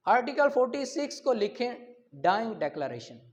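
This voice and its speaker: High-voiced Pakistani man giving a lecture. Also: sing-song, normal speed. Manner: checks listeners' understanding, repeats key terms. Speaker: law teacher. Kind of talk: classroom lecture, reading out and explaining.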